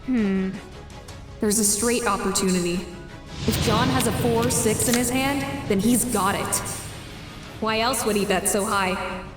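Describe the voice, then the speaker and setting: A woman's voice: medium pitch, natural voice. A woman playing a competitive, anxious teenage girl who muses over a card game in a comic dub. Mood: pensive, wary. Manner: deliberate, musing to self. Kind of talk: webcomic fan dub acting with inner monologue. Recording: roomy voice with music behind.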